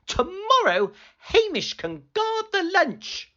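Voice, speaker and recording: A woman as high-voiced, sing-song genteel storybook wife. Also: wildly swooping pitch, slow pace, pushed and bright, lo-fi sound, full character voice. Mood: bright, inspired. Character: Missus Grinling, resourceful, fussing lighthouse keeper's wife.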